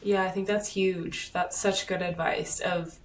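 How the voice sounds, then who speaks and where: A woman's voice: fairly low pitch, flat delivery, a little slow, recorded from a distance. A young adult woman hosting a podcast, affirming her guest in long, rambling sentences with casual language. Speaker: podcast host. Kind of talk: casual interview conversation.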